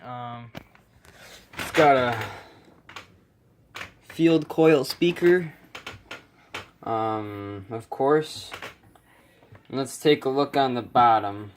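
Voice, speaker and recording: A man's voice: mid-range voice, drawn-out words, pauses often. A man giving a casual, unscripted hands-on walkthrough, hesitant and rambling, often correcting himself. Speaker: hobbyist vlogger. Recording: noisy handheld camera recording, clicks.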